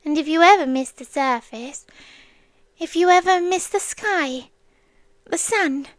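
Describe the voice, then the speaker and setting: A British woman playing a possessive, obsessive female demon in an ASMR roleplay, soft and breathy, often whispering, coaxing with tender menace. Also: extremely high pitch, no echo. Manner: slow, coaxing, sweetly menacing with long pauses.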